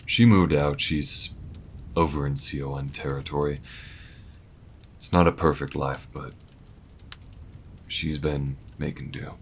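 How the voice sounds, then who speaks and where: A man's voice: extremely low pitch, steady tone, measured pace, vocal fry, pauses often, a little hiss or hum, exaggerated voice. A man voicing a laid-back, protective alien gunslinger in an intimate audio roleplay, with folksy, hesitant, reflective speech. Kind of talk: slow-burn audio roleplay, intimate monologue to listener.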